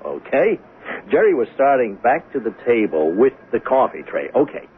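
A man's voice: medium pitch, sing-song, moderate pace, narrow, tinny audio, natural voice. An actor playing a weary, suspicious investigator in a 1970s radio mystery, folksy and needling as he reasons aloud. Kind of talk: 1970s radio drama acting. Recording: thin, faintly noisy 1970s radio broadcast.

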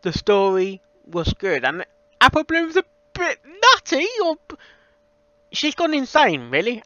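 A young man giving a casual spoken critique as a reaction host, halting and often repeating himself. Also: high voice, bright, forceful tone, clear, resonant tone, dry recording. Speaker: reaction video host reviewing a fan audio reading. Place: small room at a computer, indoors. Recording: dull, slightly lo-fi close recording.

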